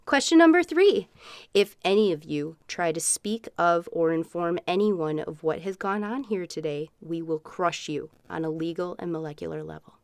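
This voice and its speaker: An actress playing a falsely cheerful, sinister corporate official running trials in a comic sci-fi radio play. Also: female voice, lowish voice, normal speed, darkish tone, clear, resonant tone, no echo, clean studio sound, natural voice. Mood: quietly menacing. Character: chirpy sinister corporate woman running inhumane product trials.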